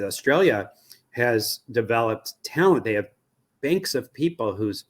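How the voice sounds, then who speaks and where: An American man giving analytical answers as an expert guest in an interview. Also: mid-range voice. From United States. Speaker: interview guest, radio research company president.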